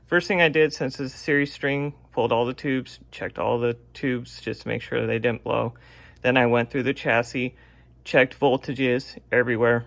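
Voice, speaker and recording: A man giving a casual, unscripted, step-by-step narration of hands-on repair work, with a gravelly, creaky voice. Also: mid-range voice, a bit bright. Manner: plain-spoken, unscripted step-by-step explaining. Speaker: radio restorer presenting a repair vlog.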